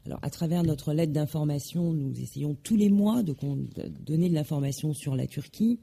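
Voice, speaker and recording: A woman giving a formal presentation at a conference, measured and halting, with many hesitations and self-corrections. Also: sits low, vocal fry, warm tone, breathy edge. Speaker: conference speaker.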